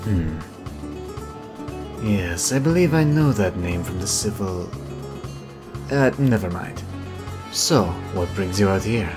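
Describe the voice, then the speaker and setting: A man playing a cocky, formal master sorcerer in a fantasy audio drama, measured and self-assured. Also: sits low, animated. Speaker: amateur voice actor. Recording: music behind the voice.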